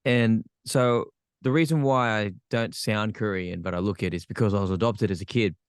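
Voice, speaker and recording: A man's voice: lowish voice, moderate pace, clear, resonant tone, no room sound, clean studio sound. An Australian man, a podcast guest, telling his life story frankly with self-deprecating humor. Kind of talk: candid personal storytelling.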